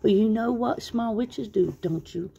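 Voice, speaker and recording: A woman voicing an innocent but scheming small male canary in a children's storybook, with drawn-out, childlike speech. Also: a little high, vocal fry, warm tone, dull audio, slight background noise, pitched above the performer's own voice. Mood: sly.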